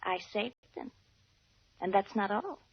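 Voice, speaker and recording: An actress playing a devoted but scheming young mistress in dramatic 1940s radio style, with breathy endearments. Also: female voice, medium pitch, lively intonation, unhurried, no echo, full character voice. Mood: scheming, resolute. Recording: muffled vintage radio recording.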